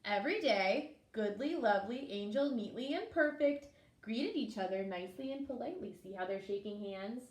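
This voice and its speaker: A woman preschool teacher reading a story aloud to young children, expressively, pausing to explain and ask simple questions. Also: fairly high pitch, animated, a little mellow. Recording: echoey room.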